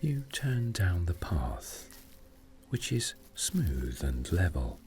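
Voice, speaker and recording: A man narrating a scripted sleep meditation story in a soothing, gentle, unhurried way, with breathy phrase endings. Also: sits low, big pitch swings.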